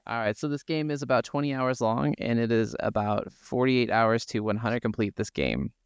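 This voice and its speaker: Clear-voiced man chatting on a podcast. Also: medium pitch, moderate pace, no echo, studio quality. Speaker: podcast co-host. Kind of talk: casual gaming podcast chat.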